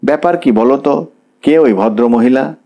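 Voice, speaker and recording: Brief: insistent young wife in a storybook, read by a male narrator, warm tone. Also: medium pitch, normal speed, close to an everyday voice. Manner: insistent, questioning.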